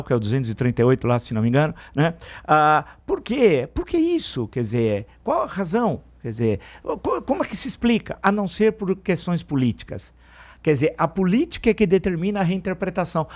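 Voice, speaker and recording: Middle-aged Brazilian male radio pundit, slightly high voice, outraged, emphatic, digressive. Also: darkish tone. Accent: Brazilian Portuguese. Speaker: radio commentator. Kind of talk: opinion commentary on morning radio news. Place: radio studio.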